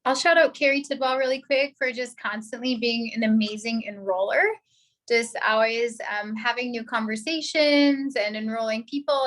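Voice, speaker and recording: A woman on a team video call, giving upbeat, celebratory shout-outs to colleagues. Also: on the high side. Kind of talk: casual video-call speech.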